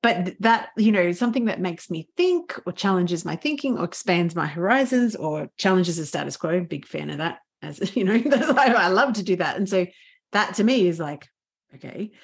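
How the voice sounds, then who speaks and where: Host voice: woman, husky tone, eager and giggly, conversational. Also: fairly low pitch, animated, normal speed. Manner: enthusiastic, laughs often. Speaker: podcast host. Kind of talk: casual interview chat.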